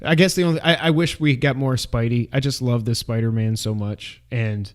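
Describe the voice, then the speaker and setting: A man's voice: mid-range voice, fairly even intonation, normal speed. Man chatting casually as review co-host. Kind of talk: casual chat.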